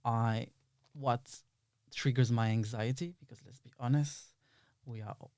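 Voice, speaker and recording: A man's voice: medium pitch, steady tone, unhurried, darkish tone, dry recording, clean studio sound. Reflective male podcast guest. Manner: rambling, reflective, quotes imagined dialogue. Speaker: interview guest, filmmaker. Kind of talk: casual podcast interview.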